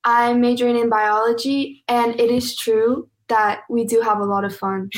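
Young female student athlete from Minnesota, slightly high register, informal introduction style. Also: fairly even intonation, a little slow. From Minnesota, United States. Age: young adult. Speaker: student athlete, podcast guest. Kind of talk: casual self-introduction.

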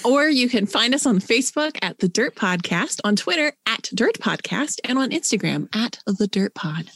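A woman's voice: a little high, big pitch swings, moderate pace, a bit bright, slightly rough, no echo. A woman co-hosting a podcast, chatting casually and giving sign-off details with dry, wry humor. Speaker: podcast co-host.

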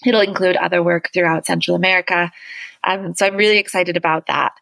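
A woman's voice: lowish voice, fairly even intonation, a little fast, creaky, fairly bright tone, no echo. A woman in her thirties from Canada, an author and researcher answering interview questions in an earnest, thoughtful way, often restarting her sentences. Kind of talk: conversational interview.

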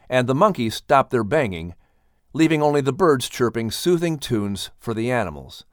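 Male narrator for children's audiobook, lively intonation, medium pitch, dry studio sound. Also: dry recording. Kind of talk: animated scripted children's story narration.

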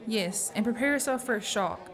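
A woman's voice: fairly high pitch, normal speed, background noise, natural voice. Woman playing a plucky, frank young woman. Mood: earnest, sympathetic. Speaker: student actor. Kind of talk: amateur radio play acting.

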